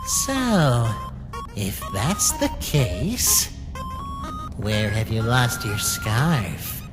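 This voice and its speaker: Bitter, mocking cartoon flower creature: slow, wildly animated taunts drenched in hall reverb. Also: mid-range voice, over music. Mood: sly, taunting. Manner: taunting, drawn-out, theatrical delivery. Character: scheming, bitter little talking flower creature, petulant and mocking. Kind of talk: dramatic comic dub voice acting.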